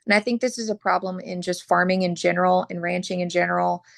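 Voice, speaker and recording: A woman from Oklahoma, a butcher, talking frankly as a podcast guest while losing her voice and clearing her throat. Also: on the low side, flat delivery, fast, no room sound, clean studio sound. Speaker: butcher and mobile processor owner.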